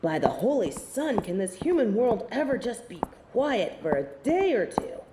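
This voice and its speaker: A woman's voice: a little high, very animated, moderate pace, echoey room, noisy background. A woman playing a snobby, scornful angel in a scripted audio roleplay, speaking in formal, archaic phrases with lofty disdain. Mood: disgusted. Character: snobby, haughty female angel of judgement, proud, scornful tsundere.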